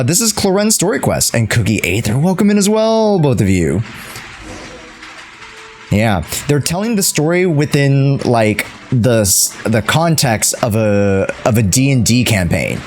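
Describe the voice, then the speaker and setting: Animated young man livestreaming a game. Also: medium pitch, measured pace, slight background noise. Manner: playful, jokey, chatty. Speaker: game streamer. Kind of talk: casual live gaming commentary.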